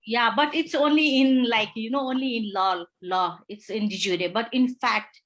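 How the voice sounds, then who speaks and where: A woman's voice: fairly high pitch, a bit bright. Young nepali woman lawyer in an interview, lots of uhs and likes, stops and restarts. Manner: halting, many fillers and restarts. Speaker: human rights lawyer. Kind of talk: interview answers.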